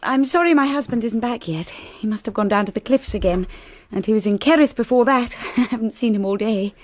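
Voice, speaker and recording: An actress playing a timid, anxious young wife in a radio drama, polite, hesitant and nervous. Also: female voice, a little high, brisk, close to an everyday voice. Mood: polite unease.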